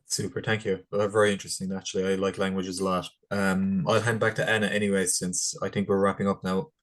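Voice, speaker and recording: A man with a low, flat voice moderates a webinar, reading out questions in brief, polite phrases. He is heard over a video call. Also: fast talker.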